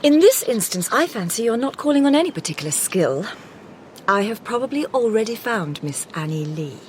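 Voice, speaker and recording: A composed Victorian lady detective in a radio drama, shrewd and dryly witty. Her delivery is measured and crisp with a lively melodic range, sometimes sinking to a low confiding murmur. Faint ambience hangs behind her. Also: slight background noise. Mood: wry.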